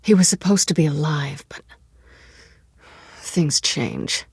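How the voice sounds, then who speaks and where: Sardonic female thief, video game dialogue, slightly low pitch, breathy husky voice, flirtatious, understated, dry studio sound. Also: animated, takes it slowly, no echo, exaggerated voice. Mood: hushed, troubled.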